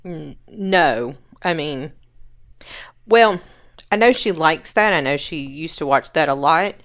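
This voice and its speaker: A woman's voice: low-pitched, animated, takes it slowly, a bit bright. A woman guest on a podcast, reading out listener questions and chatting casually. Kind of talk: casual chat.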